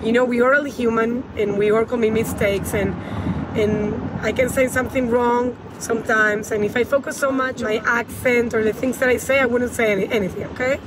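A woman's voice: fairly high pitch, steady tone, fairly quick. Spanish-accented woman in a noisy car. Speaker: women's empowerment coach giving tips. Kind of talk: casual advice vlog to camera. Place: inside a car. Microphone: phone at arm's length. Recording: loud car and road noise.

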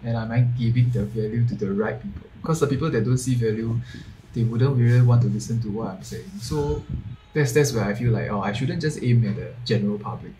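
A man's voice: medium pitch, a little fast, background noise. Earnest young Singaporean man. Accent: Singaporean English. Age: 20s. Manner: earnest, repeats words while thinking. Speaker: podcast guest, videographer. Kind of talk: casual video-call chat. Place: home room. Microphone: earphone mic on video call.

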